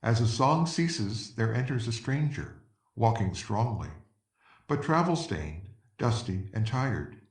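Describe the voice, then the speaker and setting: A man narrates a play's stage directions in a scripted reading. His mid-pitched voice sits away from the microphone, in a room with obvious echo. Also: animated, slow pace. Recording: echoey room, distant microphone.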